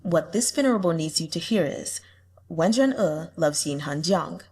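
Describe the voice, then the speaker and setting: Casting: lofty male immortal in love read by a woman, lowered tone, earnest, deliberate, formal audiobook delivery. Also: lively intonation, a little mellow, husky. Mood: solemn, wistful. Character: solemn lovelorn man, lofty venerable demon lord.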